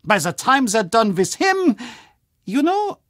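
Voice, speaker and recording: Fussy, excitable castle official, video game role. Theatrical, very animated delivery, dry close sound. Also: male voice, extremely high pitch, slow, no room sound, exaggerated voice. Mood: relieved, giddy. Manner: theatrical, self-talking, exclamatory. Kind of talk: video game character acting.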